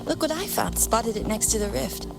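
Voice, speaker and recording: An inquisitive young companion in a video game. Her voice is high and youthful. Loud music accompanies it. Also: lively intonation, moderate pace, creaky. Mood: curious.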